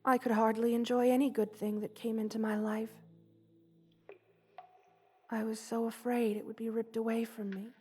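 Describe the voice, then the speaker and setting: A woman voicing a gentle, loving, motherly spider queen in a soft, hushed ASMR roleplay, tender and wistful, often slipping toward a whisper. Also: on the high side, little pitch movement, moderate pace, pauses often, breathy edge. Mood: earnest, reassuring.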